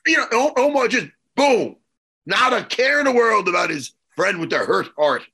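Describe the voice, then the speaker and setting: A man in his forties with a bright, gravelly, raspy voice that swings widely in pitch as he teases his friends. He talks in loose, ribbing radio banter into a studio mic. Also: moderate pace. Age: 40s. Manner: teasing, animated ribbing. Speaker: radio co-host.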